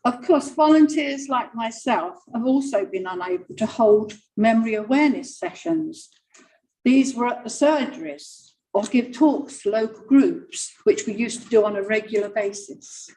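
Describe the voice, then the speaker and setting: Woman volunteer reading out her charity report, fairly high voice, projects loud and clear. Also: animated, normal speed, low-quality recording. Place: council meeting room.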